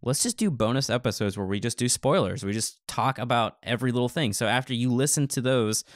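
A man's voice: mid-range voice, fairly quick, dry recording, clean studio sound. A man co-hosting a podcast in casual chat, telling stories in an informal, filler-heavy way. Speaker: podcast co-host.

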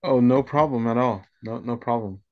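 A man's voice: mid-range voice, moderate pace. A man hosting an interview, asking hesitant questions with many ums, on a video call from a home study. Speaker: interviewer host. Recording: dull lo-fi video call audio.

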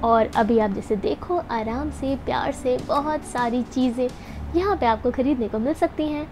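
A young woman's high voice runs through a chatty, upbeat voice-over for her vlog. She talks straight to the viewers and keeps things friendly. Faint music plays behind her. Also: moderate pace. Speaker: food and event vlogger. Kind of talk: voice-over narration mixing Hindi and English.

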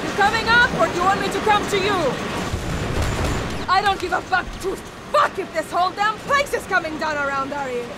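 A woman's voice: very high voice, lively intonation, brisk, over loud music. A woman playing a feral, arrogant, hot-tempered vampire villainess in a video game, theatrical, snarling and foul-mouthed. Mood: irritated, taunting. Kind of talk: video game cutscene acting.

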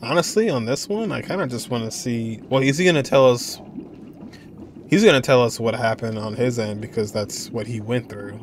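A man's voice: mid-range voice, normal speed, a little hiss or hum. Young man musing over a game. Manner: relaxed, musing, laughs often. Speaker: gaming reaction streamer. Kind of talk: casual reaction commentary. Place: indoor room at desk. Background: game music.

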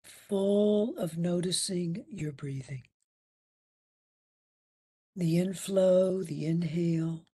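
A woman guides a meditation in a slow, relaxed voice. Her tone is breathy and a little husky, and she leaves long gaps between short, soothing phrases. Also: lowish voice. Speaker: meditation instructor.

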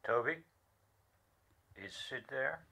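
A man acting in a radio play as an evasive, apologetic boss, speaking haltingly through a telephone filter. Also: mid-range voice, expressive, vocal fry, sharp tone, full character voice. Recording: muffled, band-limited telephone sound.

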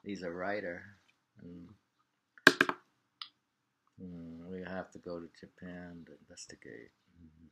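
Low, gravelly older American man. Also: steady tone, slow pace, long pauses. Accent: American Midland. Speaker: poetry reader and host. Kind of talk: casual chat and poetry reading. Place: small home room by a window, indoors. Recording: clearly noisy home webcam recording with clicks.

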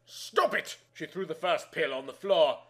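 A man's voice: fairly high pitch, moderate pace. A man reading a story aloud in a theatrical, exaggerated way. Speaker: narrator reading amateur fan fiction aloud.

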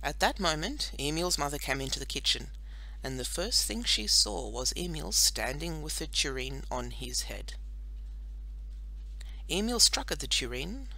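A woman narrating a children's storybook in an expressive, scripted audiobook style. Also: sits low, measured pace, rough recording.

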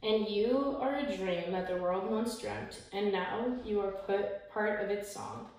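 A young woman reading a children's picture book aloud in measured, rhythmic verse, with the odd small stumble. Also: mid-range voice, darkish tone. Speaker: story time reader. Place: small indoor room, seated by a window. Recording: echoey room, distant mic, faint noise.

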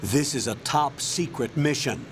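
A stern military commander in a video game, speaking at a middling pitch over a radio call. He is clipped and authoritative, guarded about secrets, with clear noise on the line. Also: measured pace. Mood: stern, concerned. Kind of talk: video game cutscene acting.

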